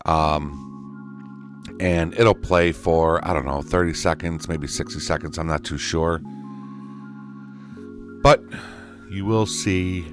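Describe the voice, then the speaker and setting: A man's voice: deep voice, takes it slowly, long pauses, music in the background. A man hosting a casual gaming tutorial, talking in a folksy way straight to his viewers. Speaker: host, gaming tutorial creator. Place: indoors at a gaming computer, voice over screen recording.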